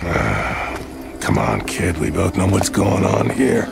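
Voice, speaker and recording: An actor playing a menacing, taunting older Western outlaw boss in a video game, with a gravelly, drawling voice. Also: male voice, low voice, sing-song, takes it slowly, heavily acted. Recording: loud music behind.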